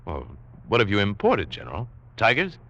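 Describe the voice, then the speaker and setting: An actor playing a seasoned, wary and principled big-game hunter, narrating and conversing in dramatic 1940s radio style. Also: male voice, lowish voice, wildly swooping pitch, moderate pace, a bit bright, heavily acted. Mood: curious. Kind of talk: 1940s radio acting, first-person narration and dialogue. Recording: dull vintage 1940s radio broadcast.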